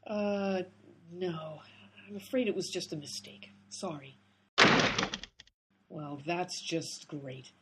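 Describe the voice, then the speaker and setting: An actress in a radio comedy playing an exasperated female amateur sleuth who talks in a brisk, bossy way. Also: fairly low pitch, a little mellow, a little hiss or hum. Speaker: lead character, amateur sleuth. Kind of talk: comic radio play acting.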